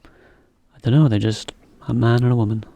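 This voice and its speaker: A man teaching a beginner English lesson, explaining slowly in plain words with example sentences. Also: mid-range voice, fairly even intonation, vocal fry, dark tone, dry recording.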